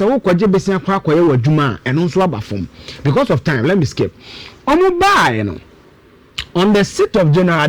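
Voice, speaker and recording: A man's voice: on the high side, no echo. A man with a Ghanaian accent explaining things emphatically and step by step in a radio discussion, switching between two languages. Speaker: guest political and economic commentator.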